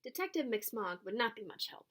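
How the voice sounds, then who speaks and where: A woman narrates a picture book for children in an expressive storytelling style. Her voice is high and moves widely up and down as she reads. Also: moderate pace. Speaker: storybook reader.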